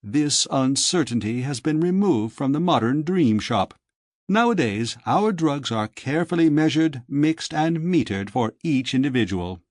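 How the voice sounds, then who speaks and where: Slick young male salesman done by a male narrator, polished lecturing patter, animated mid-range pitch, sci-fi audiobook. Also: a little slow, no echo, studio quality, lightly acted. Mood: suave, instructive. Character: sleek young male dream-shop manager, smooth salesman.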